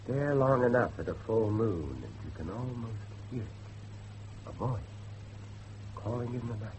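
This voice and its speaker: An actor playing a grave, grieving father who believes in devilry, speaking emphatically with dramatic pauses in a horror drama. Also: lowish voice, expressive, darkish tone, slight background noise, lightly acted, low-quality recording. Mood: grave, brooding. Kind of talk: dramatic horror dialogue acting.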